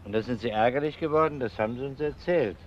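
An actor playing a persistent, no-nonsense police detective with a probing, matter-of-fact manner in a crime radio drama. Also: male voice, mid-range voice, quick pace, highs cut off, a little hiss or hum, lightly acted. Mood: pressing.

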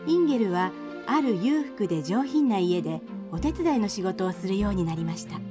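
A woman's voice: medium pitch, animated, brisk, over music. A woman narrator reading a scripted storybook tale for a radio drama.

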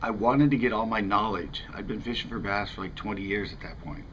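Fishing vlog host: American male, fifties, lower register, casual and rambling, some outdoor background noise. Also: brisk, fairly bright tone, low-quality recording. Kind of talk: casual talk to camera. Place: outdoors in front of a house window.

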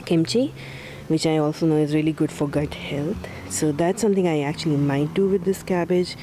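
A woman giving a relaxed, casual tour of her backyard vegetable garden, with soft fillers along the way. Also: sits low, moderate pace, background noise.

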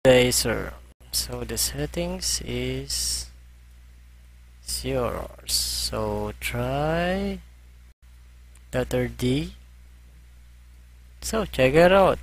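A man narrating a screen-recorded music software tutorial, halting as he tries settings. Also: mid-range voice, lively intonation, creaky. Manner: halting, hesitant commentary as he clicks through settings.

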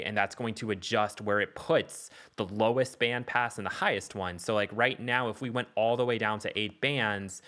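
Guy doing a casual music software tutorial, bright punchy voice kinda low, keeps checking you follow. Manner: explanatory, checks in with "okay" and "all right".

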